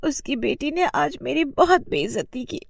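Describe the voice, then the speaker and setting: A woman's voice: high-pitched, expressive, moderate pace, vocal fry, no room sound, clean studio sound. A woman voicing an earnest, plaintive young village daughter from a poor family in a moral story. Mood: hurt, indignant. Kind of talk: acted dialogue for moral story.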